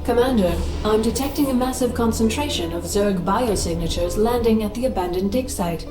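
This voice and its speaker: A woman voicing a calm ship computer. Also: fairly high pitch, brisk, reverberant, distant mic, over music, lightly acted. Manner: even, precise reporting.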